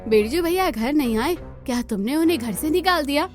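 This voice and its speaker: A woman puts on a nosy village neighbour for a cartoon story. She sounds very high, gossipy and concerned, over music. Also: expressive, fairly quick. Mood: prying concern.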